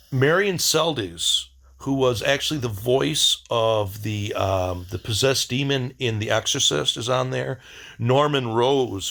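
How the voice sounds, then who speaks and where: A man hosting a casual video diary, rambling in a blunt, wry way and often halting to search for words. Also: medium pitch, vocal fry, fairly bright tone.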